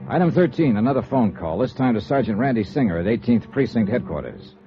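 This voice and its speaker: An American man playing a brisk, wry hard-boiled insurance investigator in 1950s radio noir style, clipped and dry. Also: medium pitch, animated, close to an everyday voice. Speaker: lead actor and narrator. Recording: dull old radio recording, faint music.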